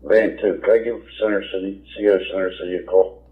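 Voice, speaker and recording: A man's voice: deep voice, fairly even intonation, quick pace. A man working as a police officer, talking over police radio. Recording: tinny narrowband police scanner radio.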